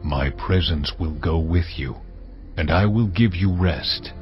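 An actor playing God in a dramatized audio Bible, speaking in a slow, measured, commanding way. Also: male voice, deep voice. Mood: solemn. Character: God, deep solemn voice of the Lord. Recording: slightly dull audio with music bed.

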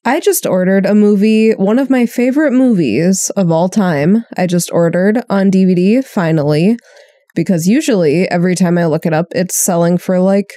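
A young woman chatting casually on a podcast, her voice a little nasal from a cold. She speaks in a dark, mellow tone and is blunt, tossing in swear words. The sound is dry and close. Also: on the low side, normal speed, dry recording. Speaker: podcast co-host. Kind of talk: casual chat.

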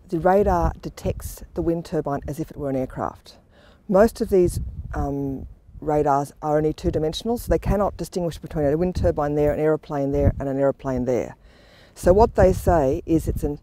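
A woman's voice: sits low, dark tone. A middle-aged woman explaining a technical subject in an interview, speaking outdoors. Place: outdoors in the open. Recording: outdoor interview with background noise.

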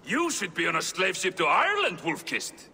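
A man's voice: sits high, huge pitch swings, normal speed, creaky, a little hiss or hum, heavily acted. An actor playing a brutal, boastful male Viking warrior who taunts and insults his foe, in a video game cutscene. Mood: taunting. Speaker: villain. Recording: game cutscene with loud score, long reverb.

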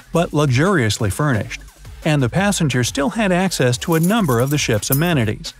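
Man narrating an explainer over soft music. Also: mid-range voice. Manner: playful asides and jokes. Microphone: studio voice-over. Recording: faint background music.

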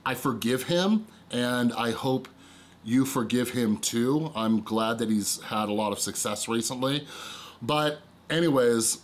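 A man's voice: medium pitch, a little slow, slight background noise. A man in his thirties, a YouTube creator, speaking earnestly to the camera from a small room. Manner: earnest, pleading. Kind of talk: casual talk to camera.

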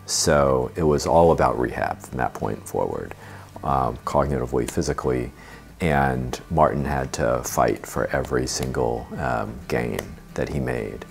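Deep gravelly man on a sofa. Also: faint music behind. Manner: measured, steady telling with frequent uh fillers. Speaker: parent interviewee. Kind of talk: interview testimonial. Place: living room, seated on a sofa.

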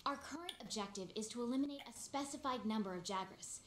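A woman's voice: on the high side, fast, echoey room, a little hiss or hum, lightly acted. A woman voicing a cheerful young female hunting partner who explains quest rules in game dialogue. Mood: encouraging. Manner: upbeat, encouraging tutorial guide. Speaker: game character.

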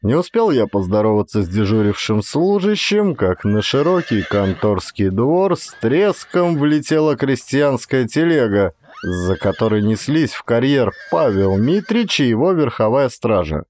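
A man reading a literary story as an audiobook narrator, in a dramatic, expressive style. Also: mid-range voice, unhurried, few pauses, slight background noise.

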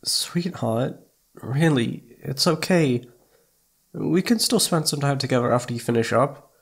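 A man acts out a clingy, loving young boyfriend in an ASMR audio roleplay. His medium-pitched voice is soft and pleading, stretching words out and hesitating as he seeks attention. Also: measured pace, breathy edge. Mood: apologetic, tender. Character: needy, affectionate young boyfriend wanting attention.

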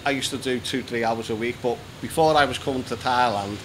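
A man's voice: medium pitch, a little fast. A British man in his fifties from England, chatting as an interview guest, telling stories and explaining things casually and repeating himself a little. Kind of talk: casual conversational storytelling. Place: small decorated indoor room. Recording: noisy room with background hum.

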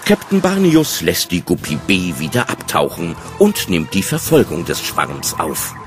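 A man's voice: low-pitched, wildly swooping pitch, a little slow, over music. A man narrating a children's audio drama as its storyteller.

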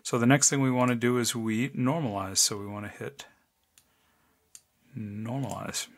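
Deep-voiced man walking through software steps. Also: normal speed. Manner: relaxed, talks through each click step by step. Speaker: software tutorial host. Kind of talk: casual screencast tutorial. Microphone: desk microphone, voice-over of screen recording.

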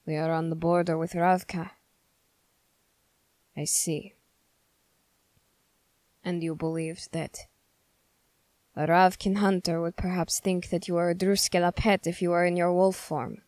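Girl doing a stern suspicious young soldier guy for asmr roleplay, lowish voice, really slow and formal, super dry close sound. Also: little pitch movement, darkish tone, no echo, close to an everyday voice. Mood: guarded, distrustful. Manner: measured, formal, interrogating. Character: stern, suspicious young male Fjerdan soldier, witch hunter. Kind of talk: ASMR character roleplay, slow scripted monologue.

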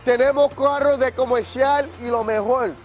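High-voiced Puerto Rican car salesman. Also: steady tone, normal speed, faint music behind. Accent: Puerto Rican Spanish. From Puerto Rico. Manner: joking, hyped, switches into English words. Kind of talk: playful sales pitch. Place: outdoor car lot.

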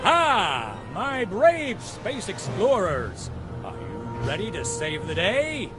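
An actor playing a heroic, jolly potato superhero movie star in a children's cartoon game, with a theatrical, encouraging manner. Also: male voice, sits high, wildly swooping pitch, slow pace, heavily acted. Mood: grand, rallying. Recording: music behind the voice.

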